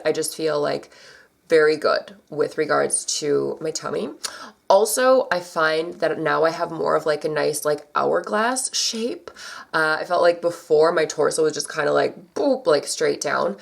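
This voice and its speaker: A young woman vlogger chatting casually to her camera, her voice a little scratchy from allergies, with lots of fillers and sudden jumps in pitch. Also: creaky.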